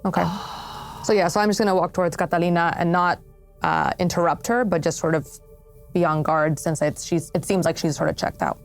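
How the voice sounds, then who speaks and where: A woman's voice: lowish voice, steady tone, a little fast, soft background music. A woman playing a tabletop game, describing her character's moves hesitantly as she thinks aloud. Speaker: player. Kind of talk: casual tabletop game play.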